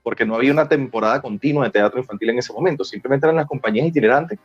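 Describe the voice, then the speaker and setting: A man's voice: mid-range voice, rapid-fire, husky, highs cut off, faint music behind. A Venezuelan man in his forties, talkative and colloquial, telling stories casually as an interview guest. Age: 40s. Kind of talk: casual conversational storytelling.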